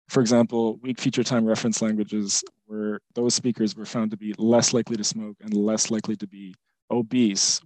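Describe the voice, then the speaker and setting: Guy on a podcast walking through a study, lowish voice, dry close sound. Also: darkish tone, no room sound, low-quality recording. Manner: measured, lecture-like explaining. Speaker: podcast co-host presenting research. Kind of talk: explanatory discussion.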